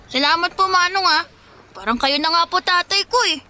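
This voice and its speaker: A woman's voice: very high-pitched, fairly quick, bright, forceful tone, heavily acted. A woman with a Filipino accent playing an earnest, polite orphaned runaway boy in a radio drama, with a childlike voice. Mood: hopeful, grateful. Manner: polite, deferential.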